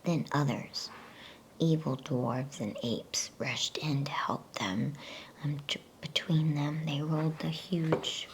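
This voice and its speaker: A woman reading a storybook aloud in a hushed, soothing ASMR whisper, taking her time as a bedtime reader. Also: low-pitched, fairly even intonation, slow pace, background noise.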